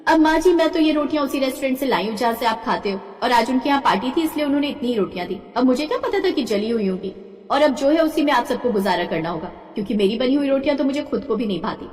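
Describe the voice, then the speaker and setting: A woman playing an exasperated, hard-working daughter-in-law in an animated family drama, aggrieved and emphatic. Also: high-pitched, quick pace. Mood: defiant. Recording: echoey hall-like reverb.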